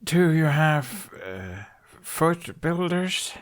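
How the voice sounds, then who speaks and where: A man voicing a polite, hesitant young foreign traveler in an audiobook, speaking in halting, broken phrases. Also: fairly high pitch, big pitch swings, pitched above the performer's own voice, full character voice. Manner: slow halting delivery with simple broken phrasing. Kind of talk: audiobook character voice.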